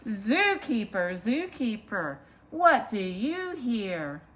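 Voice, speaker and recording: A woman's voice: fairly high pitch, very animated, a bit bright. A woman reading a picture book aloud to young children, with singsong repetition and simple asides to the listeners. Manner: slow, deliberate, singsong repetition; addresses small children directly with simple asides. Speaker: children's story time reader.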